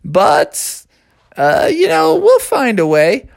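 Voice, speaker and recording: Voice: sits high, wildly swooping pitch, slow, gravelly, voice pushed up, heavily acted. Alfred, a narrator putting on a frail old owl. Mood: cheerful. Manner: folksy, says "me boy" and "me own". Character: frail old male owl, kindly and stubborn.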